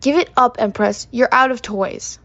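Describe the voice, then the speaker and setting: An actress playing a disgruntled, deadpan, sarcastic spaceship mechanic in a sci-fi comedy audio drama. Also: female voice, fairly high pitch, moderate pace, no echo, lo-fi sound. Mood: brash.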